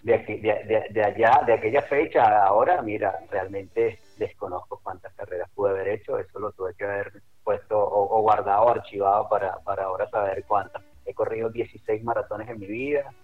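A friendly, chatty man in his fifties with a Caracas Venezuelan accent reminisces as a radio guest, often restarting his sentences. His voice sits lowish and comes through dull and lo-fi. Faint music plays behind him. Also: fairly even intonation, a little fast. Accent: Caracas Venezuelan Spanish. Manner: friendly and chatty, with frequent restarts and light laughs. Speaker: running club director.

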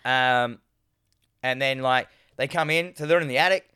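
A man recaps a horror film in loose, profane chat, and his voice sits low from a lingering cold. The tone comes through smooth and clear. The recording is close and dry. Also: bright, forceful tone, dry recording. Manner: swears freely, acts out characters' lines in quick voices. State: getting over a cold, voice lower than usual.

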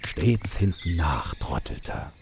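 A man with a husky voice narrating a fairy tale in a measured, unhurried way. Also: a bass voice, very animated, heavy background noise. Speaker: storyteller, narrator.

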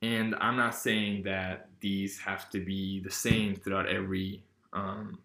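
Casual teen boy hosting a podcast, low voice, lots of ums, roomy echo. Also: level tone, takes it slowly, creaky, sharp tone. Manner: rambling, many fillers and restarts. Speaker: podcast host.

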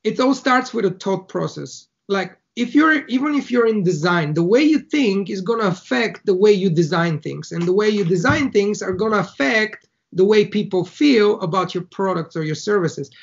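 A man's voice: high-pitched, lively intonation, normal speed, gravelly, hardly any pauses, muffled recording. A man from Bulgaria with a Bulgarian accent, a guest in an interview who talks through his ideas with analogies, in short phrases with small restarts. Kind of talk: casual conversational interview.

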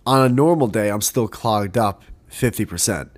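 A man's voice: on the low side, moderate pace. A young man with a stuffy, blocked nose from a cold, chatting casually and laughing as a podcast co-host. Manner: animated, laughing, swearing. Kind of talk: casual chat.

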